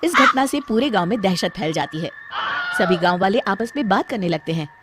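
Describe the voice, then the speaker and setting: A woman's voice: mid-range voice, animated, a little fast, very noisy. A woman storyteller delivering scripted narration for a cartoon tale.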